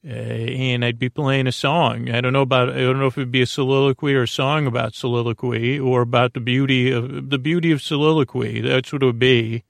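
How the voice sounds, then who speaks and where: Creaky gravelly guy doing a rambly bedtime podcast, halting, kinda silly, very dry close sound. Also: mid-range voice, steady tone, dry recording. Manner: meandering, halting, often trailing off and circling back; gently silly, friendly. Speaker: podcast host.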